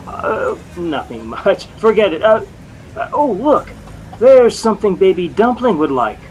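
Jealous young American husband, sheepish stammer, sarcastic grumble, boastful bluster, vintage radio comedy, faintly noisy recording. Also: male voice, sits high, big pitch swings, measured pace, darkish tone. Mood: sheepish, evasive.

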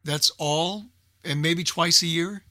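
Older American male for casual talk radio, emphatic and repetitive, forceful bright tone, close dry sound. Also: fairly high pitch, slow, no echo. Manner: emphatic, repeats himself for emphasis. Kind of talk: casual group chat.

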